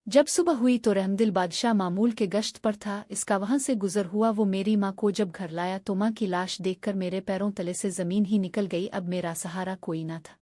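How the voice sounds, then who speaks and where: A woman's voice: medium pitch, fairly even intonation, moderate pace, hardly any pauses, dark tone, dry recording, clean studio sound, lightly acted. A woman playing a young princess who was once poor, speaking with grief and indignation in a dramatic cartoon story. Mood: grieving, solemn. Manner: declamatory, reproachful.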